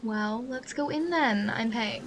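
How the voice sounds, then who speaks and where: Younger sister role, female actor: high-pitched, naturalistic, teasing and bickering, audio drama with noisy ambience. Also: a little slow. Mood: casual, tentative. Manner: casual sibling bickering. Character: teasing younger sister, casual, exasperated but affectionate. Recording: clearly noisy ambience behind the voice.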